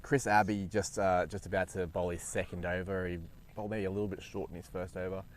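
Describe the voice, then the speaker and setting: A man commentating a cricket match live, chatty and quick to laugh. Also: low voice, flat delivery. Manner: chatty, laughs easily, many restarts.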